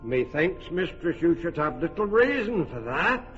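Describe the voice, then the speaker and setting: An actor playing a boastful comic weaver under a spell, full of bravado, in a classic radio drama. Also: male voice, on the high side, big pitch swings, unhurried, fairly bright tone, long reverb tail, highs cut off, soft background music. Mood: wry. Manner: blustering, comic bravado, bursts into song. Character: boastful comic weaver turned ass, bluff and blustering.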